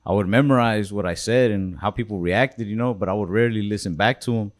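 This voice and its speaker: A young man hosting a podcast, talking in a mellow, laid-back way with lots of "bro" and "like". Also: fairly low pitch, fast talker. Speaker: comedian, podcast host. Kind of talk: casual podcast chat.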